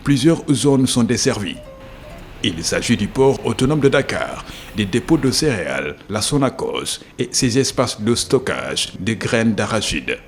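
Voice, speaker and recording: Man narrating with very lively intonation. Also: on the low side, unhurried, soft background music. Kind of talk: scripted documentary narration.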